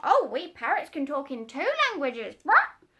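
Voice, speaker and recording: Loud squawking parrot. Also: female voice, sits high, big pitch swings, a little slow, pitched above the performer's own voice, heavily acted. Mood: squawky, bossy. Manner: squawking, sharp, emphatic. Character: proud, bossy female parrot.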